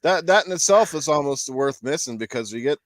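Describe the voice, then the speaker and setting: A man's voice: a little high, animated, a little fast, no room sound. A man hosting a casual panel chat, talking in a slangy, jokey, rambling way. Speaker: podcast host.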